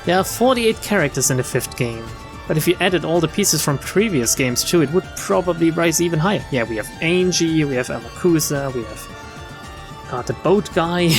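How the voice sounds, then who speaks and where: Male game streamer, higher-pitched, narrating story text with casual commentary over clear music. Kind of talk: reading visual novel text aloud with casual comments.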